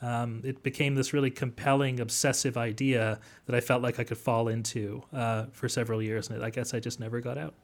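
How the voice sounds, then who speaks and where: Halting, thoughtful man being interviewed. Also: mid-range voice, little pitch movement. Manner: halting, thoughtful, wordy explaining. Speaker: guest, academic author. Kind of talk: interview conversation.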